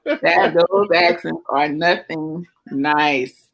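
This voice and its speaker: A woman's voice: deep voice, drawn-out words, gravelly. Teasing Southern woman, casual host. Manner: animated, teasing, calls everyone "girl". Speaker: host of a live chat show. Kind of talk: casual chat.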